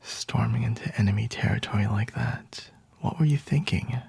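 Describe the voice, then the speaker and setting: A man performs a flirty, condescending male vampire holding a captive, in intimate ASMR style. The voice is very breathy and gravelly, slipping often into whispers. He speaks in refined, teasing phrases with slight room echo around him. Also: mid-range voice, moderate pace, darkish tone, full character voice. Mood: mocking, amused.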